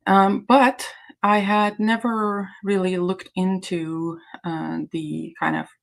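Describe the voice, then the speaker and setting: A woman's voice: medium pitch, little pitch movement, takes it slowly, vocal fry. A woman from Iran whose native language is Azeri, hosting a casual livestream chat in a hesitant, rambling way. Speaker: livestream host.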